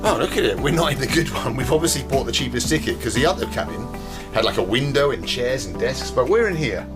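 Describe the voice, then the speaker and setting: Excitable British man vlogging his travels. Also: medium pitch, quick pace. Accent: British English. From Britain. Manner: excitable, exclamatory, chatty. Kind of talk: casual travel vlog commentary. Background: background music.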